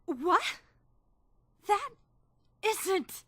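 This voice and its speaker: A woman's voice: very high-pitched, big pitch swings, extremely slow, no room sound, full character voice. A woman voicing an earnest, indignant teenage girl student who is rigidly rule-bound, speaking formally and emphatically in a dramatic visual novel fan dub. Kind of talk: dramatic first-person visual novel narration and dialogue.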